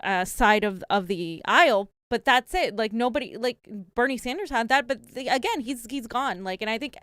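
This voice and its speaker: A woman's voice: on the high side, animated, moderate pace, fairly bright tone, no echo, studio quality. A woman from Central Florida co-hosting a live political stream, talking casually with lots of filler words. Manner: casual, lots of "like", blunt opinions. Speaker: co-host.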